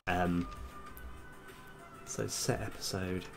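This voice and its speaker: A man live-streaming as a game developer, casually thinking aloud in halting mutters as he works. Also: low-pitched, steady tone, creaky. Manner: halting, muttering to self while working. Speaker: game developer livestream host. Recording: music behind the voice. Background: music, computer keyboard typing.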